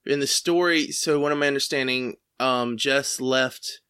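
Bright-voiced rambling male host. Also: medium pitch, moderate pace, clear, resonant tone. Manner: rambling, hesitant, many fillers. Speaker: podcast host. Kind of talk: casual interview chat.

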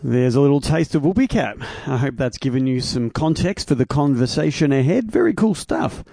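A man's voice: medium pitch, animated, brisk, creaky, dark tone, faint music behind. An Australian man hosting a podcast, chatting casually with a band, asking questions and laughing often. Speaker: podcast host. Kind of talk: casual interview chat.

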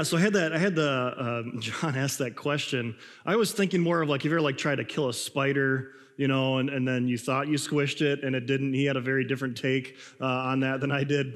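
A young male pastor, slightly raspy and recovering his voice, preaching in a loose, rambling way with lots of fillers. His voice rings with long reverb through a church hall. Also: medium pitch. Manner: rambling, self-deprecating, frequent fillers and laughs. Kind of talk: casual conversational sermon.

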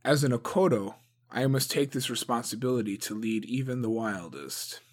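Man playing a calm young clan lord, steady, commanding, story reading. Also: medium pitch, moderate pace, lightly acted. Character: young male clan leader, calm and measured.

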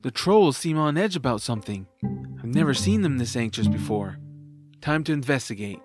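A man's voice: medium pitch, animated, moderate pace, lightly acted. A man playing an upbeat, curious young boy hero in an audio drama, eagerly talking to himself over music. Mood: hopeful, curious. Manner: eager, self-narrating. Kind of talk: family audio drama acting.

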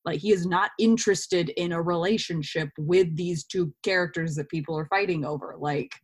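A woman's voice: fairly low pitch, moderate pace, husky. Woman chatting casually, acting out little quotes. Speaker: interview participant, actor. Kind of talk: casual conversational interview.

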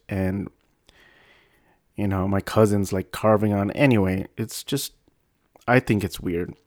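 A young man tells a personal story in a low, rambling, self-deprecating way, restarting his sentences often. The sound is dry and close. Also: darkish tone, dry recording. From United States.

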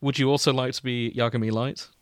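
A man hosts a podcast in a loose, comedic style. His voice sits at an average pitch and is recorded dry, with almost no room around it. He jokes easily and swears as he goes. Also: fairly even intonation, quick pace, clean tone, dry recording. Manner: joking, sweary. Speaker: podcast host. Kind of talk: casual comedic chat.

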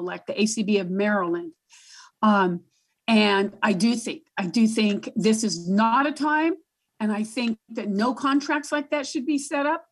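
A woman with a booming voice speaking as a guest advocate in a panel discussion, emphatic and repeating herself as she works out her thoughts.

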